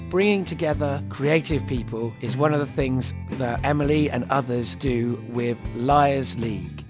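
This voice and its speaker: Guy hosting a podcast, scripted links, normal pitch, guitar music going underneath. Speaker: podcast host. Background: plucked guitar music.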